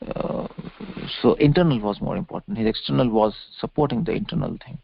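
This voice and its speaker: A man's voice: on the low side, expressive, a little fast, creaky, breathy edge, no echo. A man giving an extemporaneous scripture lecture as a preacher, explaining didactically and repeating phrases for emphasis, heard over a conference call. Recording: muffled lo-fi conference call audio.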